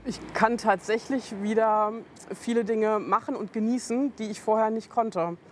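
A woman speaking earnestly and warmly in an interview, quickening as she recounts. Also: on the high side, steady tone, soft background music. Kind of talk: personal interview.

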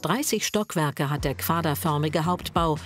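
A woman reading scripted voice-over narration for a TV report in a measured way. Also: low-pitched, faint music behind.

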